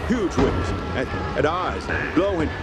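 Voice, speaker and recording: Jittery, high-voiced terrified policeman. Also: measured pace. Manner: excitable, stammering. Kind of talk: radio horror drama acting. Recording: loud music and effects behind.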